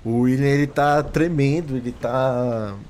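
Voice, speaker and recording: A man's voice: medium pitch, steady tone, unhurried, a little mellow, a little hiss or hum. A man, a tabletop player speaking hesitantly as a nervous character.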